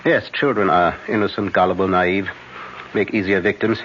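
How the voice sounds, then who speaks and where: An actor playing a grave, insistent older professor who hunts vampires, speaking solemnly with halting emphasis in classic radio horror style. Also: male voice, low voice, steady tone, fairly bright tone. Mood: grim, insistent. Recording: muffled, noisy old radio broadcast.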